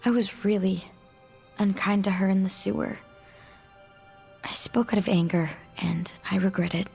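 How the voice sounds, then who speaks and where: Game role: woman voicing young female mutant outcast, candid and guarded, creaky yet clean-edged without being polished. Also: mid-range voice, fairly even intonation, normal speed, a little mellow, soft background music, close to an everyday voice. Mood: reflective. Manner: casual, candid, hesitant. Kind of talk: video game dialogue acting.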